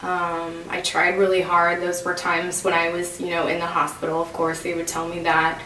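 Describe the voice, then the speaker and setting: Flat-voiced woman in an echoey room. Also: on the low side, moderate pace, recorded from a distance, a little hiss or hum. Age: 30s. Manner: matter-of-fact, frequent "like". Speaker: interviewee. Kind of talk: documentary interview.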